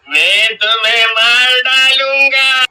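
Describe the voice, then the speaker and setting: A man voicing a vengeful, murderous ghost with threatening, drawn-out delivery in a horror story. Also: extremely high pitch, fairly even intonation, sharp tone, clear, resonant tone, voice pushed up, exaggerated voice. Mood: menacing. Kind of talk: animated horror story dialogue.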